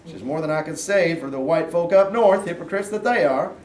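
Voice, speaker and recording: A man in a staged play reading, playing a domineering, folksy Southern authority figure who gives gruff commands. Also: a little high, brisk, a little mellow, natural voice. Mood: commanding, persuasive. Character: blustering Southern sergeant turned governor and stern father. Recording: distant echoey room, faint noise.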